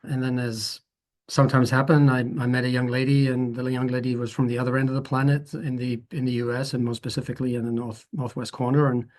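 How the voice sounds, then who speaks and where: Man, 50s, from the Netherlands, interview guest, thoughtful, rambling, medium pitch. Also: flat delivery, fast, darkish tone. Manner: rambling, thoughtful, with frequent restarts. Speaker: guest photographer. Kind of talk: conversational interview.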